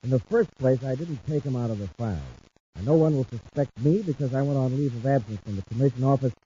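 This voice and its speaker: A man's voice: mid-range voice, fast talker, dark tone, lightly acted. An American man playing a glib, needling crook who wheedles his way along, in a 1950s radio serial. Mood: cocky. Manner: smooth-talking, wheedling. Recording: muffled, hissy 1950s radio recording.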